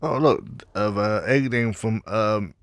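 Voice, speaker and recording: A man giving casual gameplay commentary in short, drowsy, low-key asides. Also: takes it slowly, no room sound. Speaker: video game player and commentator.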